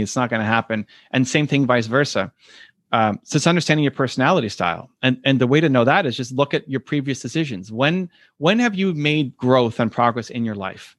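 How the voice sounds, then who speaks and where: A man's voice: brisk, no echo. A man as a podcast guest, answering earnestly and acting out quoted voices. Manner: earnest, acts out quoted voices with rising pitch. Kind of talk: reflective conversational interview answers.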